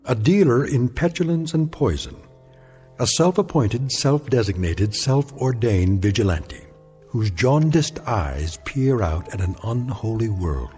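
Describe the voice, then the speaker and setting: A grave narrator of eerie radio tales. His low, dark, mellow voice is measured and ominous, over faint music. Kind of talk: scripted radio drama narration.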